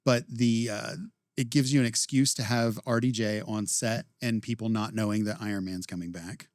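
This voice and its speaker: A man's voice: fairly low pitch, fairly even intonation, normal speed, no room sound, studio quality. A man co-hosting a podcast, telling theories in a laid-back way with hesitant fillers. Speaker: podcast co-host. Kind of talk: casual chat.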